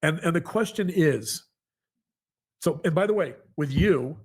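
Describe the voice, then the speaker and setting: Halting, emphatic man, somewhat high-pitched. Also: animated, a little mellow, husky. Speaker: podcast co-host, psychologist. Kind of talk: earnest conversational monologue to a co-host.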